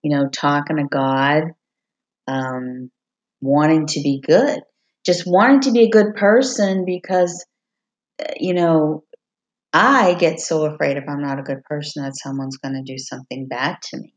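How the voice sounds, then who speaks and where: A woman who hosts a podcast and writes songs, talking openly and reflectively at home while hoarse from losing her voice. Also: sits low, a little slow. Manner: open, reflective, confiding. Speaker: podcast host, singer-songwriter. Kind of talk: candid personal monologue. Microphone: computer recording.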